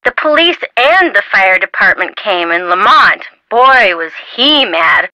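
An American actress playing a perky, curious, chatty young woman amateur sleuth in a video game, with an expressive, girlish manner. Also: mid-range voice, a little slow, dry recording, exaggerated voice, rough recording. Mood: chatty, sheepish. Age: young adult. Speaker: voice actor. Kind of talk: video game character dialogue.

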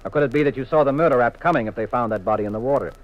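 An actor playing a confident, teasing American husband detective in a 1950s radio mystery, with a wry, assured manner. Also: male voice, medium pitch, racing, lightly acted. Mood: probing, accusing. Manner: wry, assured, leading questions. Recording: muffled vintage radio recording.

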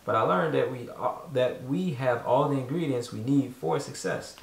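A man's voice: medium pitch, moderate pace, gravelly, a little hiss or hum, lightly acted. A man voicing an earnest young boy who leads a band in a storybook, read aloud plainly. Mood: apologetic. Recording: echoey room, distant mic.

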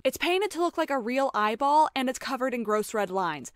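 A woman's voice: sits high, lively intonation, normal speed. A woman voicing a sensible, prim young female ticket-booth worker in a cartoon, matter-of-fact in manner. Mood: squeamish. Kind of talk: animated web series voice acting.

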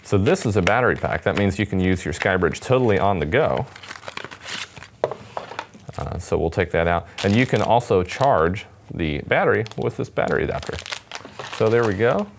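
A man's voice: fairly low pitch, expressive, moderate pace, vocal fry, noisy background. A man in his twenties giving a casual, upbeat product demonstration to camera in an indoor studio. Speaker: product demonstrator for a radio company. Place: indoor studio set with table. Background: background music.

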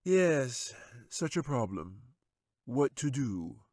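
A man voicing a sly, scheming male wizard who speaks in a slow, gloating, taunting way. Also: medium pitch, very animated, a little mellow, no echo, studio quality, voice pushed up. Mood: mocking.

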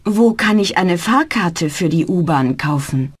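A woman's voice: fairly low pitch, animated, a little slow, breathy edge. A woman voicing a polite young language student in a scripted learner dialogue.

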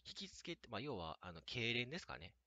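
A man talking about himself in a casual way, often halting and restarting his words. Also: mid-range voice, sing-song, pushed and bright, no echo. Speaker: scenario writer and narrator. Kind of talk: casual self-talk and introductions.

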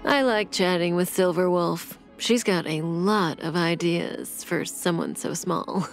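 An actress playing a calm, seductive female assassin in a video game, with a breathy, velvety voice and a languid, teasing delivery. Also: lowish voice, slow pace. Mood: calm, reflective. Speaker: game character voice actor. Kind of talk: scripted video game character voice lines. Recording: clean studio voice over game music.

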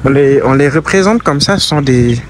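A man's voice: mid-range voice, expressive, moderate pace. A young man casually narrating a travel vlog while walking through city streets, thinking aloud with hesitant restarts. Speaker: travel vlogger. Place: busy city streets outdoors. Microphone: handheld camera while walking. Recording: outdoor street noise. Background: street and traffic noise.

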